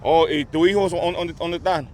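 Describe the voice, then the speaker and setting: A man conducting an informal outdoor interview, asking halting questions in slangy speech with English slipping in. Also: on the high side, steady tone, darkish tone. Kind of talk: casual street interview. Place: outdoors at a store parking lot. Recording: outdoor street noise, traffic.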